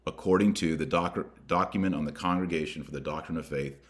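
A man hosting a podcast, reading a document aloud with emphatic, deliberate delivery and adding his own commentary. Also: very deep voice, steady tone. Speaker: podcast host.

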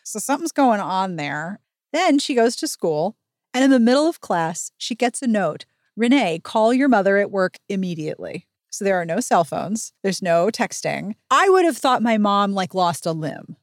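A woman's voice: medium pitch, big pitch swings, normal speed, dry recording, studio quality. A woman hosting a chatty, comic book recap podcast, sarcastic and emphatic in how she tells it. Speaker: podcast host.

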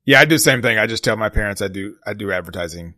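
A man's voice: fairly low pitch, expressive, rapid-fire, sharp tone, no echo, studio quality. A middle-aged man hosting a podcast, interviewing in a conversational style. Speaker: podcast host. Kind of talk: conversational interviewing with scripted intro.

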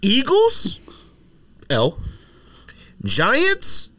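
A man's voice: extremely high pitch, wildly swooping pitch, extremely slow, sharp tone, no echo. A man hosting a casual sports podcast, blunt and dismissive in his trash talk. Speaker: podcast host.

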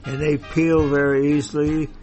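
A man in his nineties, a host's grandfather, chatting plainly at home and chuckling softly. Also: fairly high pitch, little pitch movement, moderate pace, faint music behind. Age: 90s. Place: home condominium. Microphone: table mic moved close.

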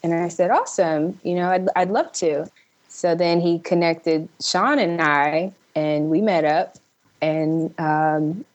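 Female interview guest, lower-pitched voice, upbeat and conversational. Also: darkish tone. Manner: upbeat, chuckles while talking. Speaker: interview guest, actor and film director. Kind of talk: casual interview chat.

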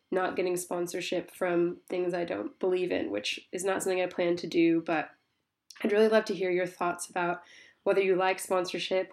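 A woman's voice: fairly low pitch, darkish tone. Congested woman podcast host. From Tasmania, Australia. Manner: chatty and apologetic, many ums. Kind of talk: casual spoken update to listeners. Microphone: phone.

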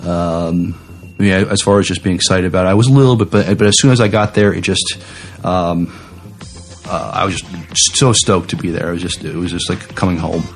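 A man co-hosting a podcast in casual chat, halting but enthusiastic as he talks. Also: low voice, steady tone, soft background music. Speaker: podcast co-host.

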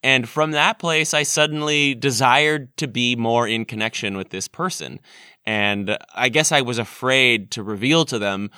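Male podcast host, crisp bright voice, casual and reflective, close dry sound. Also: medium pitch, measured pace, dry recording. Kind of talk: casual, reflective interview chat.

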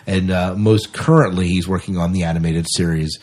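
A man hosts a podcast in a casual, conversational way. His voice sits fairly low and has a noticeably husky, unpolished grain. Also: steady tone, a little fast, a little mellow. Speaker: podcast host and interviewer.

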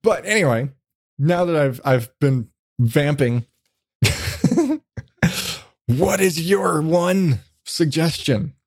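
Higher-pitched man hosting a casual podcast. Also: animated, drawn-out words, long pauses, husky, no room sound, clean studio sound. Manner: self-deprecating, profane, jokey. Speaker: podcast host. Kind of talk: casual, rambling interview chat.

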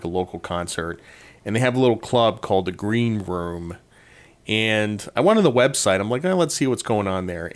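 A middle-aged man from Connecticut hosting a podcast, rambling through wry personal anecdotes in a casual monologue. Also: fairly low pitch. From Connecticut, USA. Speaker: podcast host.

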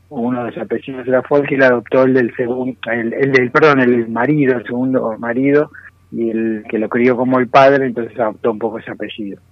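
A man's voice: medium pitch, no echo. A man chatting casually as a podcast guest, loosely rephrasing as he goes. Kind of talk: casual chat. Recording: dull, dry, radio-like sound.

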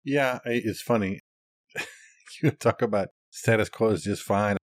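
A man's voice: lowish voice, a little slow, dry recording, studio quality. A man co-hosting a podcast, chatting casually in an easygoing way. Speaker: podcast co-host. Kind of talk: casual chat.

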